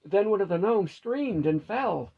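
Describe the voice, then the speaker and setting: A man narrates a fantasy story in a higher-set voice with a gravelly, husky grain. The tone is mellow and the reading is scripted. The recording sounds dull and lo-fi. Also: lively intonation, measured pace.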